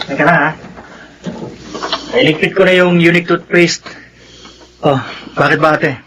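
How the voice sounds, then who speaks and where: Easygoing Filipino kid brother, high male voice, reasonable, noisy radio serial. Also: steady tone, a little slow, a bit bright. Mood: casual, reasonable. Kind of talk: Filipino radio drama acting. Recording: radio broadcast with clear background noise.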